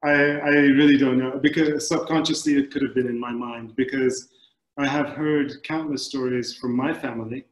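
A man's voice: mid-range voice, fairly even intonation. Guy in his 40s, film director on a video call panel, feels his way through answers, roomy echo. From Middle East, born in Kuwait. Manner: halting, searching for words. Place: indoors, book-lined room at home. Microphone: computer mic on a video call. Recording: echoey room over video call.

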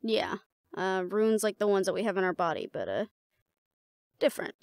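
A woman playing a battle-seasoned, fond and teasing mate in a roleplay audio drama, talking casually and intimately with a gravelly creak and hesitant pauses. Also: mid-range voice, very animated, no room sound, clean studio sound. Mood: casual, explaining.

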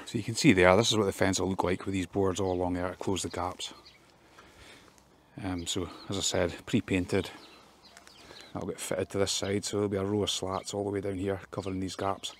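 Man, low pitched, flat delivery, practical, um-filled, garden, phone camera, faint outdoor noise. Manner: plain, practical, with many ums. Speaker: fencing contractor. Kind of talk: casual work vlog walkthrough.

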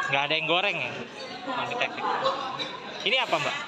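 Male vlogger, casual and inquisitive, higher voice, busy restaurant around him. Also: sing-song, brisk, a loud crowd. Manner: chatty, asks questions. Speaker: food vlogger. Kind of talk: casual chat while tasting food. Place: busy hotel restaurant, indoors.

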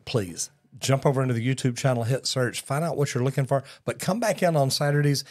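A man's voice: medium pitch, darkish tone. A man, hosting a casual live talk show in a studio, folksy and upbeat, often calling people "man". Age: 60s. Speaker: podcast host. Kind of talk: casual live-stream chat. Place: studio set. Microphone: broadcast mic on boom arm.

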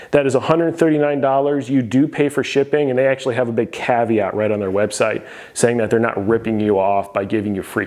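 A man's voice: mid-range voice, darkish tone. An American man in his thirties hosting a casual product review, explaining things conversationally to camera. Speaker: host reviewing gym equipment. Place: garage gym, indoors.

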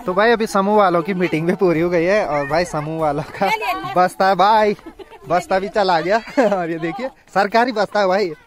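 A man's voice: high voice, moderate pace, a crowd in the background. Picture a young man chatting away to his phone camera as he walks around a hill village, filling his talk with easy asides to the viewer. Voices from a group carry behind him. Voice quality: chatty, keeps saying "bhai". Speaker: vlogger. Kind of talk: casual vlog narration. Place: outdoors in a mountain village.

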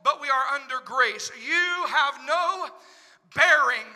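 A middle-aged pastor with an Oklahoma accent preaching into a handheld microphone in a large church sanctuary, dropping to a hush and then rising to shouts. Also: male voice, extremely high pitch, expressive, slow pace. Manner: swings from hushed to shouting. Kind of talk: preaching a sermon. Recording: long hall reverb in church.

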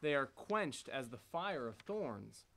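A man's voice: medium pitch, very animated, slow, vocal fry, a loud crowd. A man solemnly reciting scripted scripture in a readers theater on a church stage. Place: church stage before congregation. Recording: noisy church hall recording.

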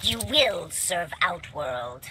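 An actress playing a menacing insectoid hive assassin in a video game, deliberate and speaking of herself in the third person. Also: female voice, fairly low pitch, sing-song, over music, rough recording. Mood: menacing, commanding. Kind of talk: video game fight-intro dialogue.

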